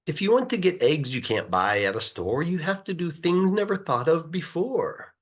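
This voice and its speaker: A man reads a children's story aloud in an expressive, sing-song rhythm, stretching words for emphasis like a teacher reading to a class. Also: on the high side, moderate pace, muffled recording. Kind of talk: animated rhyming storybook narration.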